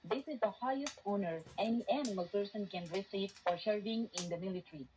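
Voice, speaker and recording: A woman's voice: mid-range voice, quick pace, a little mellow, a little hiss or hum, rough recording. A woman presenting scripted news narration, heard through a shortwave radio broadcast.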